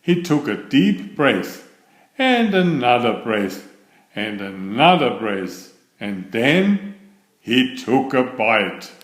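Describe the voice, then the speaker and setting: A male storyteller reads a children's picture book in a slow, measured way. His pitch moves up and down with lively expression. The room adds a clear echo around his voice. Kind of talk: read-aloud children's picture book narration.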